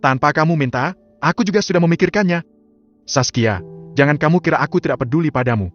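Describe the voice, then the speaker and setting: A man voicing a cold, ruthless older crime boss who talks in a flat, curt way. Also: a little high, fast talker, voice pushed up, natural voice. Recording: slightly muffled, soft music behind.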